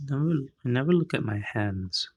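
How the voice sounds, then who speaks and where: A man who teaches singing, chatting casually as a guest with a boomy, projecting voice and a loud, playful manner. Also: animated, normal speed. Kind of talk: casual conversation.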